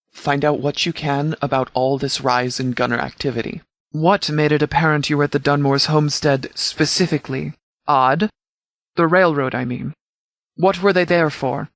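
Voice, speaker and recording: A man playing a stern, composed sheriff in a video game, speaking with formal, clipped military authority in a slightly higher voice. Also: creaky, fairly bright tone, no echo. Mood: businesslike. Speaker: commanding officer. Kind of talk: scripted video game dialogue.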